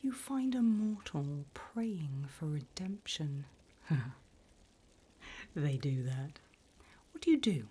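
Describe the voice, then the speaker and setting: A sly female demon, played by a woman, conducts an interview in an ASMR roleplay. The voice is almost all breath, a soft low whisper. Each phrase comes slowly and deliberately, with polite, teasing menace. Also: animated, husky, heavily acted. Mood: coolly testing.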